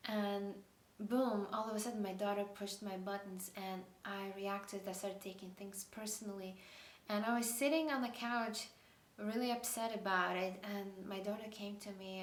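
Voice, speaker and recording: A woman's voice: mid-range voice, little pitch movement, normal speed, reverberant. A middle-aged woman from Russia, speaking, tells a personal story in a reflective, earnest way, with many fillers and restarts. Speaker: guest sharing her story.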